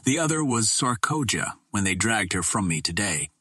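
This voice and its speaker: A solemn young Martian woman from a pulp audiobook, voiced by a male narrator. She speaks in an earnest, measured way through a low, husky voice. The sound is dry and close. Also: big pitch swings, sharp tone, no room sound, heavily acted.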